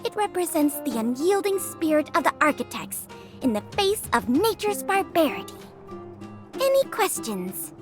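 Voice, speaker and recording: High-voiced, prim young woman officer. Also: very animated, moderate pace, over music. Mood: earnest, instructive. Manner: instructive, polite, slightly stern. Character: prim young female military intelligence officer and guide. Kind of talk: video game voice acting, guided-tour speech.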